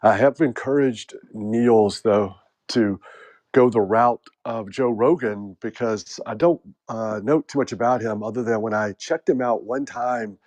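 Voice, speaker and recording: A man's voice: mid-range voice, unhurried, a little mellow. A man with an American accent hosting a casual group chat, rambling and joking with lots of fillers. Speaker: host.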